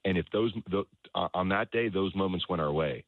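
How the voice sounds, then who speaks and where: A man speaking as a soccer coach in an interview, explaining things in a halting way, repeating words and often checking in with the listener. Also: low voice. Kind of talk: conversational sports interview, explaining his coaching philosophy. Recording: roomy echo, dull lo-fi sound.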